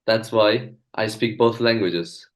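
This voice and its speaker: A young man from Turkey, a native speaker of Azerbaijani and Turkish, talking as an interview guest with hesitant pauses and fillers. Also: fairly low pitch. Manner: hesitant, many fillers and restarts. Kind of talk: casual video-call interview.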